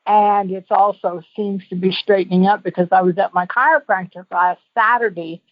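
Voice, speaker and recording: A woman's voice: medium pitch, fairly even intonation, moderate pace, no room sound. A woman calling in as a guest, giving a casual, rambling, folksy personal testimonial over the telephone. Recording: tinny narrow phone line.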